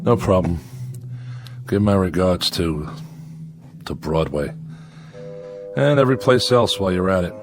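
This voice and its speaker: An actor in a radio drama playing a sardonic, weary convict living alone in exile on an asteroid. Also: male voice, low voice, expressive, measured pace, pauses often. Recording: music behind.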